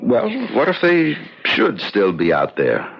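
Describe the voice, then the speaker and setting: A stubborn, preoccupied husband in a vintage radio play. His low voice is gravelly and swings widely in pitch. He is terse and dismissive, on a dull recording. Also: huge pitch swings, unhurried, full character voice. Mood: evasive, determined. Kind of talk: 1970s radio drama acting.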